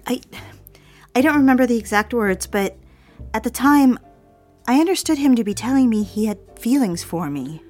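An actress playing an anxious, hurt young secretary who speaks politely and hesitantly, confiding in an audio drama. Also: female voice, on the high side, expressive, moderate pace, darkish tone, soft background music. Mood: troubled, confiding.

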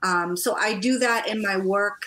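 A woman's voice: mid-range voice, measured pace, bright, forceful tone. A woman from Trinidad who grew up partly in the US, talking as a coach and interview guest in an effusive, personal storytelling way. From born in Trinidad, moved to the US at about eight. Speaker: guest, life and divorce coach.

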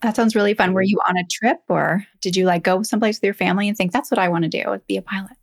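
A woman's voice: mid-range voice, fairly even intonation, quick pace. A woman co-hosting a podcast, speaking in a friendly interviewing style. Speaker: podcast co-host.

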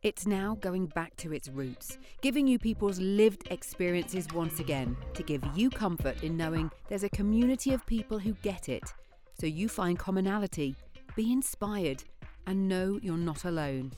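A woman reading a scripted podcast introduction in a steady, measured way. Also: lowish voice. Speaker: podcast founder, intro narrator. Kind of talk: scripted narration. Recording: music bed behind voice.

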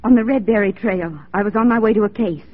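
Woman acting spirited young nurse, wry, tender, self-possessed, medium pitch, dull 1940s radio recording. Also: fast, slight background noise, lightly acted. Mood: urgent.

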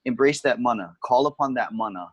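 A man's voice: medium pitch, a little fast, slightly rough, no room sound, lo-fi sound. A man from Hawaii, a touring musician, talking casually and patiently explaining words. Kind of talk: casual conversation. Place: home, during lockdown.

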